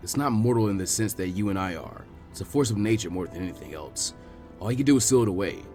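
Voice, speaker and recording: Guy doing a serious old ninja teacher in a story, measured and frank, faint music. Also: fairly low pitch, animated, a little mellow, pitched below the performer's own voice, lightly acted. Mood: grave, patient. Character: frank older male ninja master, grave mentor. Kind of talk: acted storybook dialogue.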